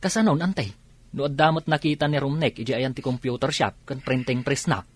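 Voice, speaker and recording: A man playing an inquisitive family man in an Ilocano audio drama, with a husky voice. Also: mid-range voice, steady tone, a little fast, natural voice. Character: inquisitive husband chatting about a marriage pact.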